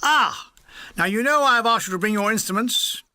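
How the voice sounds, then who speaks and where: A man's voice: high voice, normal speed, pushed and bright, no room sound, clean studio sound, voice pushed up. A man voicing a pompous, officious British army major in a comic war memoir. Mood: self-satisfied.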